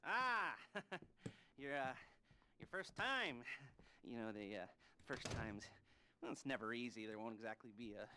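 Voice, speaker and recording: An actor playing a sardonic middle-aged American heist planner in a video game, rambling and hesitant with awkward pauses. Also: male voice, medium pitch, lively intonation, noisy background, close to an everyday voice. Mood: awkward, creepy amusement. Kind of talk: video game character dialogue.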